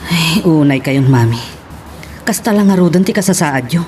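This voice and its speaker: Exasperated grown daughter, low voice, Ilocano accent, pleading, radio drama, faintly noisy recording. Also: female voice, animated, normal speed, darkish tone. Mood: pleading, firm. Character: exasperated adult daughter scolding her rich mother.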